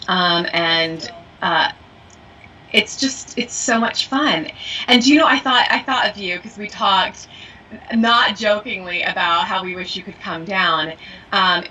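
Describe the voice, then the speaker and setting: A woman voiceover actor and acting coach co-hosting a casual podcast. Her voice is bright and forceful, and she laughs easily while she chats. The recording is a little distant, with faint background noise. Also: mid-range voice, slow pace. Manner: laughs often, bubbly and chatty. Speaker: podcast co-host. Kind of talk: casual chat.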